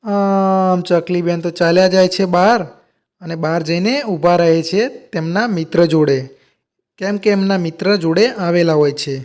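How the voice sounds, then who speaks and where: A man narrates a children's story. His voice sits high for a male speaker, and he reads in a scripted storytelling style. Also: steady tone, moderate pace, darkish tone, clear, resonant tone.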